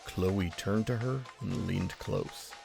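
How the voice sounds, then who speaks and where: Guy narrating a fan story, super gravelly low voice, music under it. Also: slow. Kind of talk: audiobook-style story reading.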